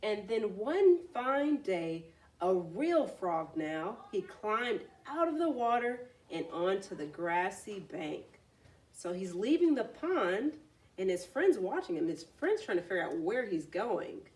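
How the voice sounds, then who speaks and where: A woman's voice: mid-range voice, very animated, takes it slowly, darkish tone, distant mic. A woman reading a children's story aloud expressively, adding simple explanatory asides.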